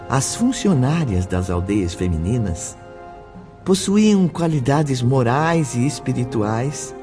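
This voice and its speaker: A man narrating scenes of a spiritual radio play in a steady way. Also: mid-range voice.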